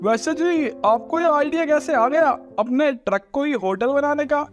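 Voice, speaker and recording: A man playing a courteous, expressive townsman in a cartoon story. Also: very high-pitched, normal speed, lo-fi sound, soft background music. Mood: cheerful, appreciative. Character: adult male supporting townsfolk, courteous and expressive. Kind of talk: Hindi cartoon story dubbing.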